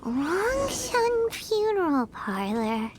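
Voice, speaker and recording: Casting: tiny childlike girl sidekick for a video game, extremely high, wildly swooping, flustered and blunt. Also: big pitch swings, drawn-out words, background noise, heavily acted. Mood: bewildered. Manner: exclaiming, bewildered, blunt. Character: small childlike companion, female, excitable and easily flustered. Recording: music under the voice.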